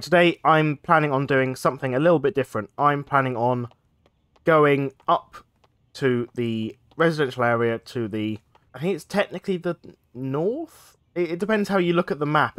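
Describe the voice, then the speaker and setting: A man narrating his own gameplay in a relaxed, rambling way. His nose is a little blocked from a cold, which gives his voice a stuffed-up sound. He talks into a desk microphone, mid-pitched and conversational. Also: moderate pace, dry recording, clean studio sound. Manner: chatty, thinks aloud while playing. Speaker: let's play gaming host. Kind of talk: casual gameplay commentary.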